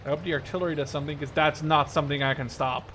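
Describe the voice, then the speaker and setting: A man's voice: a little high, fairly even intonation, very fast, fairly bright tone. A man in his twenties giving casual strategy game commentary, thinking aloud in an informal way. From Netherlands. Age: 20s. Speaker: gaming video creator. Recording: clear gunfire and artillery noise behind. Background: game battle sounds, gunfire, artillery.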